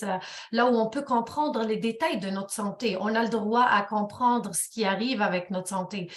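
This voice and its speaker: Woman hosting a health webinar, lots of ums, roomy echo. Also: medium pitch. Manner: hesitant, many fillers. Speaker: webinar moderator. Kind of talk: moderating a panel.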